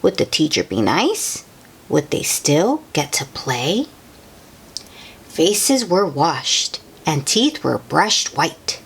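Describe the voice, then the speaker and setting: A woman reading a picture book aloud to young children in an expressive, sing-song way, with chatty asides to the listeners. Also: low-pitched, takes it slowly, gravelly, breathy edge. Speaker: children's read-aloud host.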